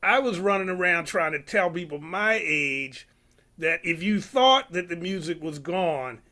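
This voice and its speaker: A man's voice: high-pitched, lively intonation, unhurried, pushed and bright. An older man who calls himself a senior citizen, hosting an interview with enthusiastic, rambling questions full of fillers. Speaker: interviewer, host. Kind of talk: casual interview conversation.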